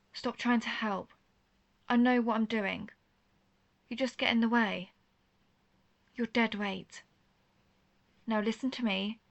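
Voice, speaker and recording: A woman's voice: fairly high pitch, a bit bright. A woman playing an intense, guarded vampire hunter, herself a vampire, in a scripted roleplay audio drama, speaking earnestly and formally straight to the listener. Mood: urgent, commanding. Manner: earnest, formal phrasing, addressing the listener directly.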